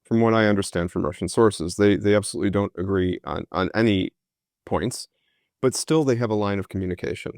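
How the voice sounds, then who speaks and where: A low-pitched man in his thirties speaks over a video call. He answers questions in a halting, thoughtful way, full of fillers and restarts. The sound is dry and close. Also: a little mellow, no room sound. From United States. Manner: hesitant, many fillers and restarts. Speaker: historian guest. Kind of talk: conversational interview answers.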